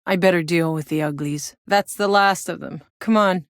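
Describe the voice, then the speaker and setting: An actress voices a hardened woman soldier from a sci-fi video game. Her voice sits on the low side, with a blunt, world-weary, sardonic edge and a sweary directness. The sound is dry and studio-clean, with no room around it. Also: moderate pace, no echo, close to an everyday voice. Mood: irritated, sardonic. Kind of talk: scripted video game character dialogue.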